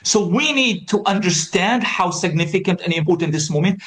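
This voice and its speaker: Guy from palestine giving a forum talk, highish voice, fired up, says things twice for emphasis. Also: expressive, moderate pace, a bit bright. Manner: impassioned, repeats words for emphasis. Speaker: panel speaker, writer. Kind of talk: conference speech.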